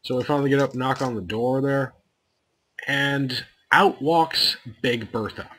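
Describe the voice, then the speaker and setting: A man's voice: medium pitch, a little slow, fairly bright tone. A man telling casual anecdotes on an online voice call, crude and joking, swearing freely. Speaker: storyteller on a call.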